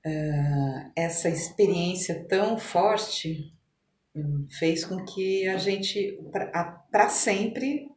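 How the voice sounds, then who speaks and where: An older Brazilian woman with a São Paulo accent recounts her life in an interview. She speaks slowly and conversationally, often checking in with the listener. The room is echoey, with clear background noise. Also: on the low side, lively intonation, a little mellow. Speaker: interview guest, physician. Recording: roomy echo, noticeable background ambience.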